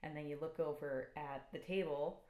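Low-voiced female podcast co-host. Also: fairly even intonation, normal speed, darkish tone. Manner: thoughtful, hesitant with fillers. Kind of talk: casual podcast chat. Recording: echoey room.

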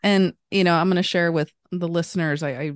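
Chatty woman podcast host, low voice. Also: fairly even intonation, fairly quick, no echo, studio quality. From Kentucky, US. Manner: chatty, laughs often. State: scratchy from allergies. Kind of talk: casual interview chat.

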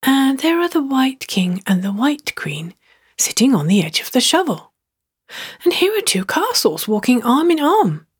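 A woman reading as a curious little girl in a storybook, hushed and full of wonder. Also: high voice, big pitch swings, measured pace, breathy edge, pitched above the performer's own voice, exaggerated voice.

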